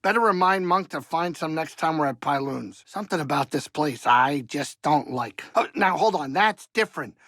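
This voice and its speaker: Grumbly little alien pilot dude for a game, middle aged, folksy and fretful, bright punchy gravelly voice. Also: male voice, a little high, expressive, normal speed, hardly any pauses, no room sound, clean studio sound. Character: fussy, good-hearted middle-aged male alien starship pilot and cook. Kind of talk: video game character acting.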